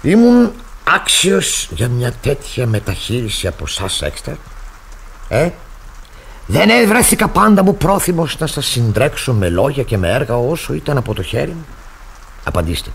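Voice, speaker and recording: An actor playing a stern, fussy, easily fooled pastor in a radio play, with a pompous, sermonising, flustered manner. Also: male voice, medium pitch, sing-song, vocal fry, a little hiss or hum. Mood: indignant, reproachful. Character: stern, moralising, credulous, fussy male pastor. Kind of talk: radio theatre acting.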